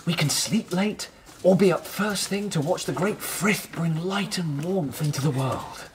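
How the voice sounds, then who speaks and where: A woman's voice: on the low side, a little slow, natural voice. A woman playing a bold, friendly wild rabbit leader in a radio play, earnest and persuasive. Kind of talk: radio drama acting.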